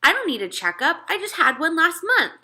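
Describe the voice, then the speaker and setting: A grumbling nine-year-old storybook boy, acted by a woman reader, reluctant and put out. The tone is bright and the pitch jumps about. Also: very animated, a little fast, exaggerated voice. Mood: indignant.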